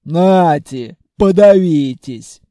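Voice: extremely slow, dark tone, no room sound, clean studio sound. Чтец озвучивает хрупкую, но грубую и угрюмую эльфийку из аудиокниги, с хриплым басом и ворчливой простецкой речью. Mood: surly, gruff. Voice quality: hoarse bass. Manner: grumbling, slangy, curt. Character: frail elf woman, gruff troll-raised chain smoker, rude, surly.

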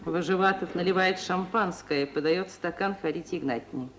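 A woman's voice: fairly low pitch, fairly quick, low-quality recording. A woman reading scripted narration of the action in a 1950s radio play. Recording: old radio recording, faint hiss.

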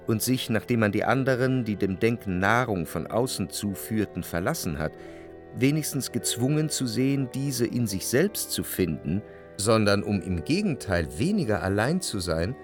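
A jealous, introspective man looking back on his love in a radio play. His voice sits fairly low, with faint music around it. He narrates in long, measured, reflective sentences. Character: introspective, jealous male lover recalling his past. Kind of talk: literary radio drama narration.

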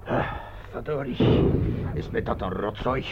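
An actor playing a distraught, weary frontline soldier in a war radio play, agitated and complaining. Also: male voice, mid-range voice, unhurried, close to an everyday voice. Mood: weary, indignant. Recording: muffled noisy old radio recording.

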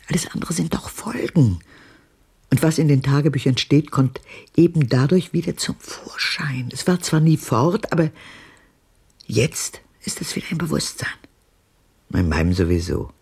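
An older actress playing a bitter, haunted old woman in a radio drama, speaking deliberately and pointedly in a low, breathy voice that drops into whispers. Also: dark tone, heavily acted.

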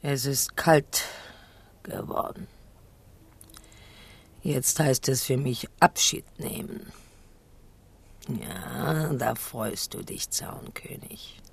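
Eine Schauspielerin spielt im Hörspiel eine bittere, spöttische alte Königinmutter, brüchig, stockend und bissig. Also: female voice, extremely low pitch, lively intonation, gravelly, breathy edge, exaggerated voice. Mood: bitter, mocking. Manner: halting, sardonic, biting. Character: bitter, mocking old queen mother, stooped on a cane. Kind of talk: radio drama acting.

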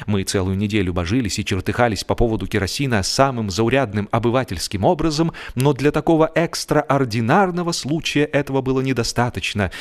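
A man narrating a humorous literary story in an expressive, mock-serious theatrical manner, with deliberate pauses. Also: medium pitch. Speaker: audiobook narrator, actor.

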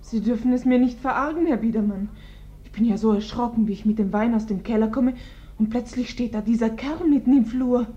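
An actress playing a dutiful, flustered housemaid in a radio play, deferential, apologetic and anxious. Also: female voice, a little high, brisk, dark tone, close to an everyday voice. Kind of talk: German radio play acting. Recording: dull, band-limited radio recording.

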